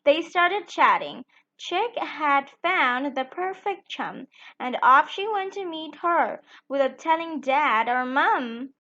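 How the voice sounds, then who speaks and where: A woman hosting a children's storytime, reading a picture book aloud in a sing-song, deliberate way. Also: high-pitched, lively intonation, bright, forceful tone, highs cut off. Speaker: children's storytime channel host.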